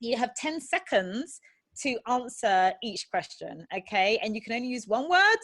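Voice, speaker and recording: A woman's voice: a little high, sing-song, moderate pace, fairly bright tone, slight background noise. A woman hosting an informal interview in a chatty, effusive and playful way. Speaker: podcast host.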